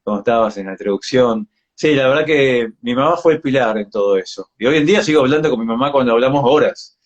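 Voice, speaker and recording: A man's voice: mid-range voice, fast talker, highs cut off. A man in his forties with an Argentine accent, chatting reflectively as a guest in a casual interview and often checking for agreement. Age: 40s. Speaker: yoga practitioner being interviewed. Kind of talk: casual live interview chat.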